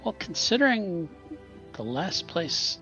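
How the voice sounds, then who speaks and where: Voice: medium pitch, very animated, takes it slowly, pushed and bright, faint music behind. A tabletop roleplaying player talking casually and hesitantly while improvising. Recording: dull, muffled lo-fi audio.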